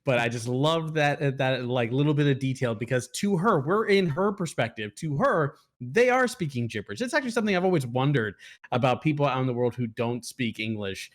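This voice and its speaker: Halting, casual man talking movies. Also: medium pitch. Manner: halting, rambling, profane. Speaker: movie review co-host. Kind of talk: casual film discussion.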